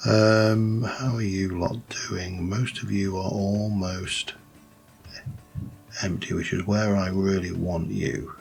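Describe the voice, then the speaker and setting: A man with a light Yorkshire accent, rambling through casual gameplay commentary with many pauses and restarts. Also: low-pitched, fairly even intonation, gravelly, darkish tone, soft background music. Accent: light Yorkshire English. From Yorkshire, England. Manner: rambling, thinks aloud with many ums and restarts. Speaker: let's play host.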